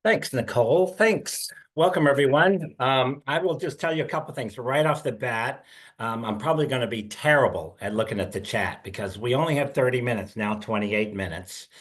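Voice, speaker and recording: A man's voice: medium pitch, moderate pace, a bit bright. An older man giving a webinar presentation over a headset on a video call, earnest and full of fillers and repeated words. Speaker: guest speaker. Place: indoor room, video call.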